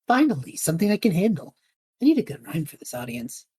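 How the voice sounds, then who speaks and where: A woman playing a cocky, cheeky young male adventurer who talks in a flippant, chatty, excitable way in a fantasy audio drama. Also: on the low side, quick pace, breathy edge.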